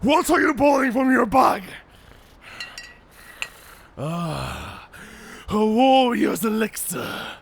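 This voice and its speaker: A man playing a proud, honor-bound and taunting werewolf warrior in a cinematic audio roleplay, speaking formally with a menacing, raspy growl. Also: high-pitched, sing-song, a little hiss or hum, full character voice. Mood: suspicious, proud. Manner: slow, menacing, theatrical, formal speech.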